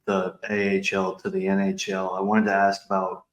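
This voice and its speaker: A man's voice: sits low, monotone, normal speed. A man co-hosting a podcast, casually putting questions to a guest, recorded with a roomy echo. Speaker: podcast co-host, interviewer. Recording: echoey, roomy sound.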